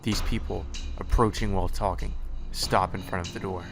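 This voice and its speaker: Lowish guy doing a let's play, reads the story out loud n trips on words, bit of music under it. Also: fairly even intonation, moderate pace, creaky. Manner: stumbles over words and corrects himself while reading. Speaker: let's play host reading a visual novel aloud. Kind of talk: reading game text aloud with casual commentary.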